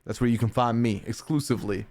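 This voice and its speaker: A man's voice: mid-range voice, fast talker, a little mellow. A man streaming and answering chat in a rambling, casual way with frequent laughs, his accent sometimes taken for British. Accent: mixed, sometimes heard as British. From North America. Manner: chatty, rambling, laughs often.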